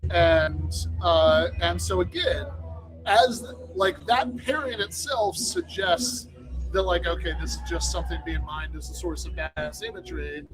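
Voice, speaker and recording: A man chatting casually on a podcast, halting often and circling back over his own phrases. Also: high-pitched, very animated, vocal fry, poor audio. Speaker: podcast host. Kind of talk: casual chat. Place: bar. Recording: loud music behind the voice. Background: loud music.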